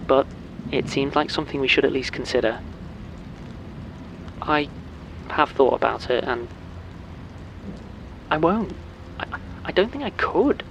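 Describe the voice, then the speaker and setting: A man playing a tender, steadfast partner in an audio drama, speaking in an earnest, hesitant and gentle way. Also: medium pitch, dull audio, a little hiss or hum, lightly acted. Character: tender, steadfast man pleading with his beloved.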